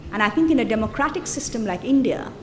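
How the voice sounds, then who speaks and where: A woman's voice: a little high, animated, a little hiss or hum. Woman lecturer in an echoey hall. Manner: measured, explanatory. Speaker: guest lecturer. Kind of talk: answering audience questions.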